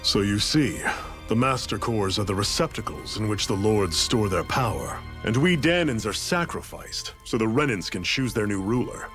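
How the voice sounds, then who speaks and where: An actor playing a seasoned, fatherly rebel leader in a video game, with a relaxed, folksy, mentoring way of talking. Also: fairly low pitch, normal speed, soft background music. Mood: wry, explaining.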